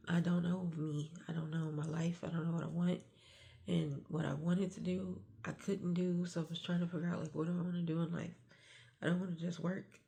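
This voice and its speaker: Stuffy middle-aged woman, low mellow voice, quiet confessional vlog talk. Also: little pitch movement, normal speed, creaky. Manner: hushed early-morning talk, sighs and restarts. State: stuffy nose, getting sick. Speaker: vlogger.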